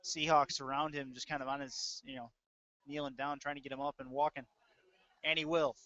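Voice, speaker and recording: A man's voice: mid-range voice, level tone, fairly quick, pushed and bright. An American man working as a play-by-play announcer, giving live commentary on a football game. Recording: dull, lo-fi webcast audio.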